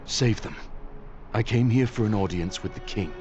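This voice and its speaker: An actor playing an earnest, grave and blunt young-realm noble in a fantasy game. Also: male voice, lowish voice, normal speed, lo-fi sound, music in the background, natural voice. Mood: bitter, blunt. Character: earnest, blunt northern male noble of the Night's Watch. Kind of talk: dramatic fantasy game acting.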